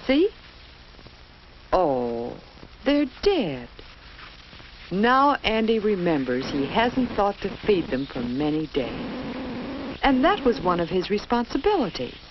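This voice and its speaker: Woman, children's film narrator, expressive rise and fall, simple teacherly storytelling, muffled vintage soundtrack with faint noise. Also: medium pitch, slow pace, long pauses. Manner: simple didactic phrasing with rhetorical questions to the viewer. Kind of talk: scripted storytelling narration addressed to young children. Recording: dull lo-fi old film soundtrack, faint hiss.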